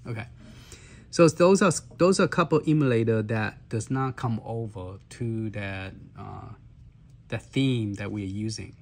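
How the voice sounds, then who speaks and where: A man with a lowish, slightly hoarse voice clears his throat now and then. He talks through a gaming device's menus in a loose, halting way and often fills his pauses. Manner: halting, many fillers and restarts. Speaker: handheld gaming reviewer. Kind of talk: casual walkthrough demo.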